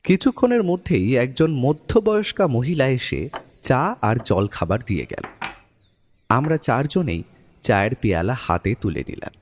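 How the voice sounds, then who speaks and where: A man narrating a scripted detective audio story in a deliberate, descriptive storytelling manner. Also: mid-range voice, big pitch swings, a little hiss or hum.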